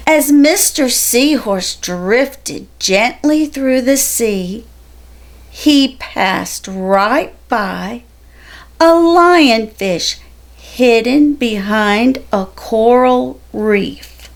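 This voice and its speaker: A woman reading a children's picture book aloud in a deliberate storytelling manner. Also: fairly high pitch, animated. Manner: slow, deliberate, storytelling delivery. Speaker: storybook reader.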